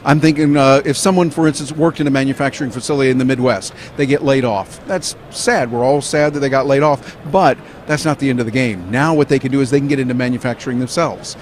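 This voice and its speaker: A man's voice: mid-range voice, quick pace, hardly any pauses, slight background noise. A male business reporter interviewing a guest at a trade show in an upbeat, motivational way.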